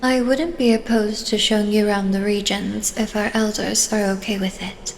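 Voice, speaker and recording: A woman playing a reserved, dutiful fighter in an audio drama, speaking in an even, formal manner. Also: mid-range voice, moderate pace, vocal fry, natural voice. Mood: dutiful, polite. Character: reserved, dutiful female long-range fighter. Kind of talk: scripted fan audio drama acting. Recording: reverberant hall, faint noise.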